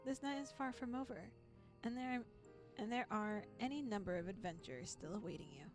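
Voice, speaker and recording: A woman reading as the theatrical narrator of a horror visual novel, deliberate and foreboding. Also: medium pitch, natural voice. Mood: teasing. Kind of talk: dramatic second-person storytelling. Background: music.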